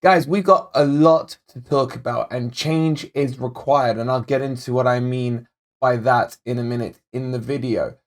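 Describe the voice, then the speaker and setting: A man hosting a football fan channel, talking casually and directly to viewers in a match analysis, his voice still a little croaky. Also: mid-range voice, fairly even intonation, normal speed. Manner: chatty, direct, addresses viewers as guys. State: slightly croaky voice. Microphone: desk microphone.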